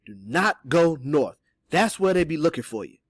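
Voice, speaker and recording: A man's voice: a little high, animated, moderate pace, no room sound, studio quality, pitched above the performer's own voice, natural voice. A man voicing an old enslaved Southern man in an audiobook, sly and hopeful, giving urgent, folksy counsel. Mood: cautioning. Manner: whispering Southern dialect speech, urgent and folksy.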